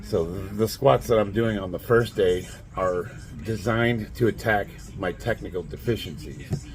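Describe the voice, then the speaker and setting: A man in his late forties with a raspy, nasal, stuffed-up voice, rambling bluntly and laughing often as he talks to camera. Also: lowish voice, unhurried, soft background music, low-quality recording. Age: 40s. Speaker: fitness vlogger. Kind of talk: casual monologue to camera.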